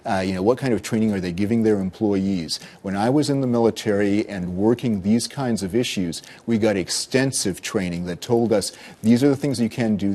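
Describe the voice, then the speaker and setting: A man speaking as an expert guest in a news interview, explaining things in an earnest, measured way. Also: fairly low pitch, dark tone. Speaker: cybersecurity consultant and former military intelligence officer, interview guest.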